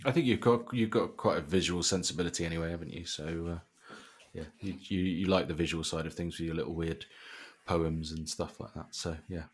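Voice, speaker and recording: Podcast host, male, low voice, halting and wordy delivery in relaxed conversation. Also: husky. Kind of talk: casual podcast chat.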